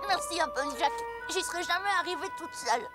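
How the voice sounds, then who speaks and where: A woman's voice: very high-pitched, lively intonation, a little fast. A woman voicing a brash, competitive young female pegasus pony in a French cartoon dub, with a dramatic delivery. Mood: sulky. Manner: dramatic, hesitant when cornered. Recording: music bed under the dialogue.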